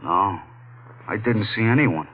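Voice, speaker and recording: An actor playing a frightened, jumpy janitor in a 1940s-style radio mystery, speaking in a nervous, ominous hush. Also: male voice, on the low side, a little slow, slightly rough. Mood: uneasy, gossipy. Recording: tinny old radio recording with music.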